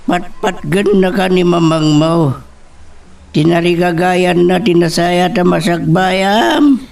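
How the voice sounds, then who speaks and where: An actor playing a kindly father who soothes his child in a radio drama, with a creaky voice. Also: high voice, fairly even intonation, slow, darkish tone, recorded from a distance, full character voice. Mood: soothing. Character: slow, kindly father comforting his daughter.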